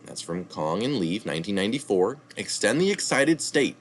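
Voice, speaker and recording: A man reading a health article aloud, pausing to add citation asides and correct his own slips. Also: on the low side, very animated. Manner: reads steadily, adding asides and correcting slips.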